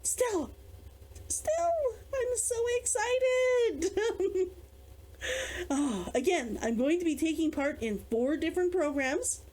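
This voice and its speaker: A woman author hosting a read-along, chatting in an excitable, giggly way. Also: very high-pitched, slow pace. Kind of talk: chatty intro and story reading.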